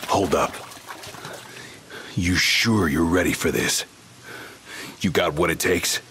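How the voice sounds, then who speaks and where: A man playing a gruff, blunt, hot-tempered young warrior bodyguard in a video game cutscene. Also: deep voice, takes it slowly, sharp tone, a little hiss or hum, full character voice. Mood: stern, challenging. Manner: blunt, confrontational.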